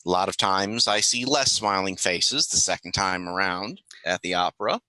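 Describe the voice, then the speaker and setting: A man plays a female government agent running a briefing in a tabletop game. The delivery is halting, wry and businesslike, with a very bright, forceful tone in a dry, close recording. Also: sits low, lively intonation, no echo, voice pushed down, full character voice. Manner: halting, dryly joking.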